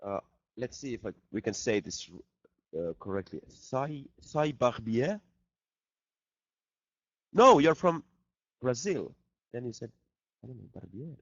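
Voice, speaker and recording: A man's voice: mid-range voice, sing-song, unhurried, pauses often, no room sound. A man, a band member, joking and reading out fan questions in a casual video chat. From Finland. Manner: playful, jokey, reads questions aloud. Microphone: laptop video call mic. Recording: dull lo-fi video call audio.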